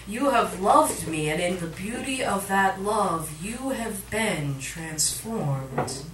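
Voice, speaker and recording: A woman reciting poetry at a live reading, with dramatic, deliberate phrasing. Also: mid-range voice, lively intonation. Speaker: poet, featured reader. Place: literary cafe, indoors, live reading. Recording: echoey room recording from far off, audience noise. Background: cafe audience sounds.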